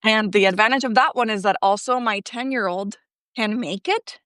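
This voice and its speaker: A woman's voice: medium pitch, normal speed, fairly bright tone, dry recording, clean studio sound. A woman in her thirties, from Colombia and raised in Ecuador, chatting as a guest in a self-deprecating way with lots of filler words. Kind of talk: casual chat.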